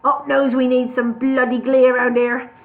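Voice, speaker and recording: A woman voicing a loud, bullying male brewery boss with a gravelly, bellowing voice, on a tinny phone-like recording. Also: high voice, flat delivery, normal speed. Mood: suspicious. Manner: bossy, shouting demands.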